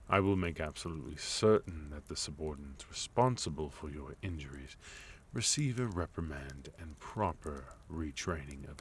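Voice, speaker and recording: Male actor as sophisticated villain leader for ASMR roleplay: extremely low, unhurried, formal, quietly threatening, faint noise behind. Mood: formally apologetic. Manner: formal, polite, measured, with deliberate pauses. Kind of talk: ASMR roleplay, intimate second-person acting.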